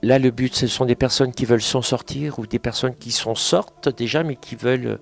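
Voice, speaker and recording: A man's voice: mid-range voice, fairly even intonation, brisk, creaky, faint music behind. A man hosting a conversational radio interview, summing things up in short phrases, recorded in a small kitchen. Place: small kitchen of a community family centre.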